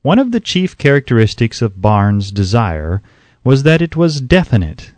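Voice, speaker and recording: Animated male audiobook narrator, very dry sound. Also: mid-range voice, normal speed, no echo.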